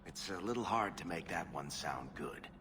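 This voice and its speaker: A man's voice: sits low, animated, normal speed, background noise. A man voicing a wry, guarded storyteller companion in a fantasy video game, with sardonic delivery. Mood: dryly uneasy. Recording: loud music under the voice.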